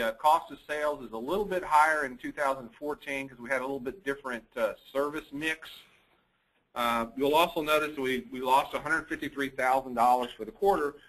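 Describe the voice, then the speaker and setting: A man's voice: mid-range voice, pushed and bright, poor audio. A man speaking as a chief financial officer, giving a businesslike, matter-of-fact financial report on a conference call.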